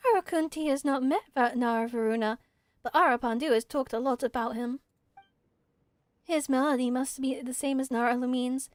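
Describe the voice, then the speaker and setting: A woman voicing a small, gentle, earnest forest spirit from a video game, childlike and simply spoken in measured phrases. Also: high-pitched, steady tone, no echo, lightly acted. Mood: wondering.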